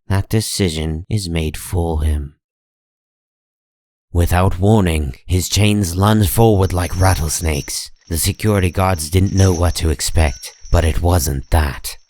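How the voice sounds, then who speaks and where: A man narrating a comic dub in a measured, solemn and dramatic scripted style. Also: sits low, darkish tone.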